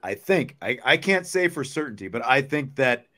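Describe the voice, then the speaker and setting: A man's voice: mid-range voice, big pitch swings, normal speed, sharp tone. A man hosting a sports podcast, chatting casually and thinking aloud as he answers viewer comments.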